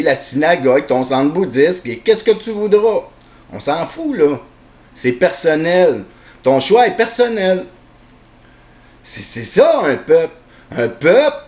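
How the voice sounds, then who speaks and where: A man with a Québécois French accent delivering a heated, sarcastic opinion monologue and repeating rhetorical questions. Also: on the high side. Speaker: commentator.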